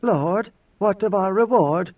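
A man's voice: high-pitched, slow pace, pitched above the performer's own voice. A man voicing a shrewd, composed old woman, a priestess envoy in an audiobook, speaking with formal poise. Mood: deferential. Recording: muffled phone-like audio, distant.

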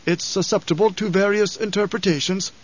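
Man voicing a grave old spymaster advisor, high-pitched. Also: steady tone, fairly quick, muffled recording. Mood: grave, careful. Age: older.

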